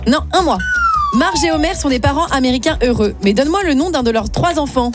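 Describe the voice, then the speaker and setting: A woman co-hosting a radio show, reading quiz questions in a crisp, clearly articulated way. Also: high-pitched, huge pitch swings, fast, music in the background. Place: radio studio.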